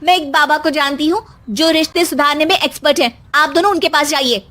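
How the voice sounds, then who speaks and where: Woman acting married daughter, cartoon story, exasperated peacemaker, extremely high, bright voice. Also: fairly quick. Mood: urging.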